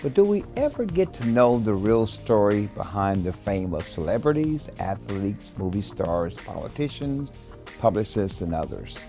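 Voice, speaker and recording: Male host, animated pitch, eager, faint music underneath. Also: normal speed. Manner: eager, interjecting, stumbling over words. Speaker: talk show host. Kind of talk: interview host.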